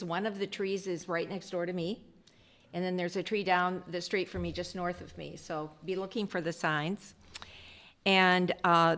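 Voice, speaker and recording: A woman village trustee with a loud voice, giving a chatty report at a council meeting with many pauses and ums. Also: fairly low pitch.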